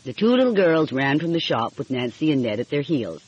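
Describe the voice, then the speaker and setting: Lady narrating an audiobook, kinda husky, pitch moves around a lot, bit muffled. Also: very animated, fairly quick, darkish tone, no echo. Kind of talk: scripted storybook narration with character dialogue.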